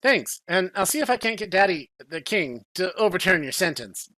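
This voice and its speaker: A man's voice: high-pitched, very animated, normal speed, sharp tone, no echo, voice pushed up, heavily acted. A man voicing a brash, impatient giantess princess who loves a fight, in a dramatic reading of a game story. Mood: flustered.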